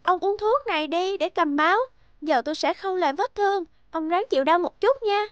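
A woman voicing a kind, earnest young sister in an animated morality tale. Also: very high voice, brisk, dry recording, muffled recording. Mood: gentle, caring.

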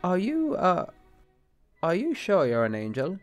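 A man's voice: high-pitched, wildly swooping pitch, unhurried, faint music behind, heavily acted. Man acting a flustered, skeptical bishop. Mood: flustered, nervous. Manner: flustered, hesitant, formal. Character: anxious, exasperated, skeptical married male bishop. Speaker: actor. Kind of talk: radio-play style drama acting.

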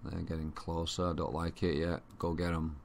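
A man with a Yorkshire accent giving casual, unhurried gaming commentary, thinking aloud to himself. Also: low voice, flat delivery, vocal fry, slight background noise. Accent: Yorkshire English. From Yorkshire, England. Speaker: gamer commentating own play. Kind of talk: casual let's play commentary. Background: strategy game ambience.

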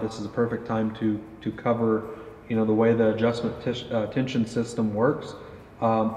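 A man's voice: medium pitch, darkish tone. A man in his thirties casually interviewing a guest and explaining archery gear, with many fillers, at an indoor range. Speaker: company pro staff interviewer. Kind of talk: product interview. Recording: roomy echo, dull, faint noise.

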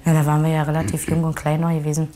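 A middle-aged woman with a low voice recalls her family past in an interview. She talks in plain, rambling sentences with many fillers. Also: monotone, very fast, warm tone. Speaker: interviewee, victim's sister. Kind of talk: recorded interview recollection.

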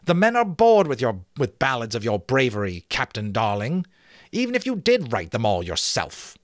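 A man voicing a sharp-tongued, mocking outlaw woman in a storybook reading. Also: medium pitch, wildly swooping pitch, normal speed, no echo, studio quality.

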